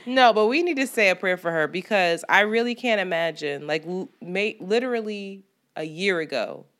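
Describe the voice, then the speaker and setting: A woman's voice: mid-range voice, normal speed, a bit bright. A woman co-hosting a podcast, chatting casually, swearing freely and acting out quotes. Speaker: podcast co-host. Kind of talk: casual chat.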